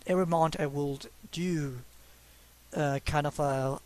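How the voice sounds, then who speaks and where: A man's voice: on the high side, steady tone, measured pace. A young man from Mexico, speaking English with a Mexican Spanish accent, talks casually and hesitantly about his drawings, with many fillers and restarts. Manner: self-conscious, laughs a little. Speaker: hobby artist.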